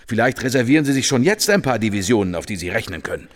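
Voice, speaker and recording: An actor playing a wary, irritated head of government in a radio drama, insistent and sardonic. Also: male voice, medium pitch, lively intonation, quick pace, natural voice. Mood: wary, resolute. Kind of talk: German radio drama acting. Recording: long reverb, music underneath.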